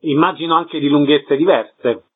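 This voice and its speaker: A man's voice: fairly high pitch, normal speed, husky. A man working as a radio interviewer, asking questions in a conversational style. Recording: narrow, phone-like radio sound.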